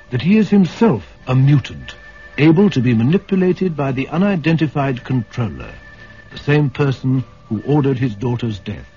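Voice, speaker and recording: A man's voice: mid-range voice, mellow, highs cut off. A male radio announcer reading a scripted introduction to a drama in a vintage broadcast. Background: faint music.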